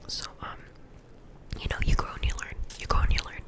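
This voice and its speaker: A young woman getting over a cold, softly telling rambling, self-deprecating stories from her school days in an ASMR whisper. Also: fairly quick. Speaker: vlogger.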